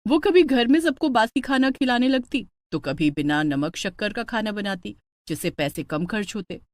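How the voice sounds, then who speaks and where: Female narrator for scripted family tales: medium pitch, expressive swings, dramatic storytelling delivery. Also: normal speed, no room sound.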